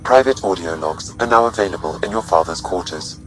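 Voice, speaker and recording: A deep, measured male ship AI weighs every word with calm curiosity. Its tone stays even and analytical. Faint music runs underneath. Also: rough recording. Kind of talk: video game voice acting.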